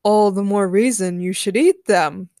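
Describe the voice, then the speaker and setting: Woman doing sneaky villain guy tempting people, slow coaxing words, story reading, dry sound. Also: mid-range voice, mellow, no echo, close to an everyday voice. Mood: sly coaxing. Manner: drawn-out, coaxing. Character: sly male villain with mind control powers, tempting.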